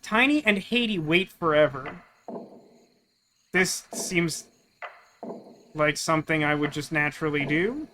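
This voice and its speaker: High-pitched, bright-voiced man gaming. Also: animated, a little slow, long pauses, a little hiss or hum. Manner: musing, puzzling through aloud. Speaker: gaming video host. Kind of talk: casual let's play commentary, thinking aloud.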